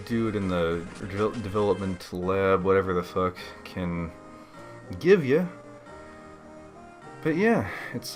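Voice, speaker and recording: A man giving casual, rambling game commentary as a let's play host, laid-back and slangy. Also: lowish voice.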